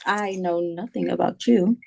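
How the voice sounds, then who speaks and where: Woman as mellow, curious date. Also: on the low side, measured pace, vocal fry. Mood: curious, playful. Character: curious, casual woman on a getting-to-know-you date. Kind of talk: dramatic table read.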